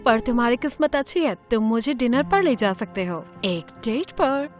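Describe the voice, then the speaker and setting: A young woman with a high voice tells an animated story in the first person. She sounds theatrical and condescending, playing a bossy, teasing, self-assured character over background music. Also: moderate pace. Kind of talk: scripted animated storytelling with acted dialogue.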